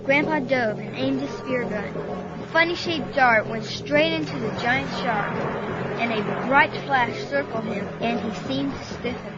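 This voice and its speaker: A woman's voice: sits high, measured pace, dull audio, very noisy. A woman voicing an earnest young boy who narrates a children's sea adventure story dramatically. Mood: awed.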